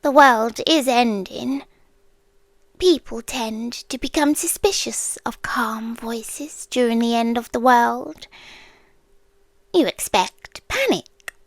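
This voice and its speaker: A British woman playing an ancient, calm, doting apocalyptic girlfriend in a soft, partly whispered ASMR roleplay, speaking slowly and deliberately. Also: fairly high pitch, no echo, heavily acted. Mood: soothing, eerily calm. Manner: slow, hushed, deliberate delivery.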